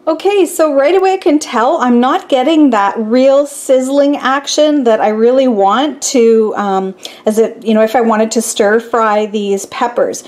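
A fairly high-voiced woman talks through a cooking experiment at her kitchen stove. She sounds chatty and upbeat, thinking aloud with easy ums and pauses. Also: darkish tone. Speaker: home cooking vlogger. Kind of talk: casual cooking demonstration.

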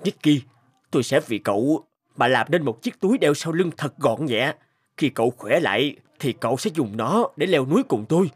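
A man's voice: fairly high pitch, lively intonation, moderate pace, close to an everyday voice. A man voicing an earnest, devoted mountain climber in a cartoon, speaking emotively. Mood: determined. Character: earnest adult male mountain climber, guilt-ridden and devoted friend. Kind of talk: dubbed cartoon acting. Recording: loud music over the voice.

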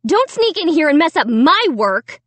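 A woman voicing a stuck-up, angry teenage girl in a shrill, snappish storybook voice. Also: wildly swooping pitch, normal speed, a bit bright, no echo, heavily acted. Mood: sputtering, snappish. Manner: snappish, indignant. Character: furious, stuck-up teenage girl painter, shrieking at her brother.

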